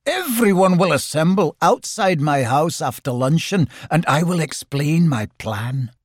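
A man's voice: fairly high pitch, huge pitch swings, breathy edge, no echo, studio quality, voice pushed up, exaggerated voice. A man voicing a bossy, impatient rabbit in a storybook, officious and severe. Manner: officious, brisk orders. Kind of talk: storybook character voice.

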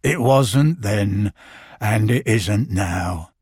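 A man voicing a gloomy old grey donkey in a storybook reading, husky, mournful and deadpan. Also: fairly low pitch, drawn-out words, no echo, studio quality, voice pushed down, exaggerated voice. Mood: dour.

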